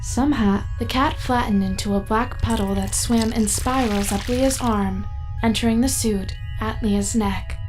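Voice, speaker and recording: A woman playing a composed, analytical AI companion who narrates an audio drama in formal, precise, measured speech. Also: on the high side, music in the background, natural voice, low-quality recording. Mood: calm, analytical. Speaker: narrator and in-character host.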